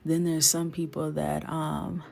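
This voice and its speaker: A low-pitched young woman from New York talks casually and playfully as she colors at her desk, now and then dropping to a whisper. Faint music plays behind her voice. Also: little pitch movement, unhurried, creaky, warm tone. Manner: playful, chatty, drifts into whispers and laughs. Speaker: illustrator coloring on a livestream. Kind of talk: casual chat while coloring.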